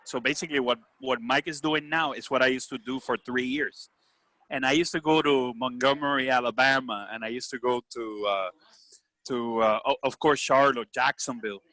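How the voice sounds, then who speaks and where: A man's voice: mid-range voice, fairly even intonation, moderate pace, bright, forceful tone, no echo, rough recording. A man with a Puerto Rican accent talking earnestly in a casual group discussion, often checking that listeners agree. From Puerto Rico. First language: Spanish. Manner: earnest, seeks agreement with "right?" and "you know". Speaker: business executive leading a group discussion. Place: video call.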